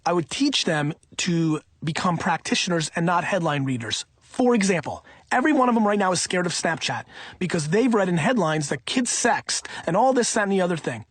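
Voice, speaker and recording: A man reading an audiobook in the first person, in an emphatic, punchy, conversational way with a husky voice. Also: sits high, animated, brisk, dry recording. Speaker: audiobook reader.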